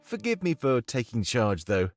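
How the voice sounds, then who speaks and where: Steadfast, caring fleet comrade for a visual novel; mid-pitched, expressive, reassuring, over soft guitar. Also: male voice, moderate pace. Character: supportive male comrade overseeing a rebel fleet. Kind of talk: visual novel character dialogue.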